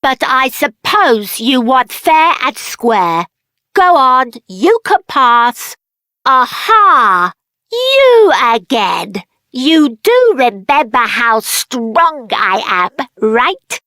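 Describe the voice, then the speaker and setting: A woman voicing a plucky, boastful little gatekeeper in a video game, cocky and playful with a deliberate delivery. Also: high voice, big pitch swings, sharp tone, no room sound, studio quality, heavily acted. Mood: cocky, challenging. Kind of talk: video game character acting.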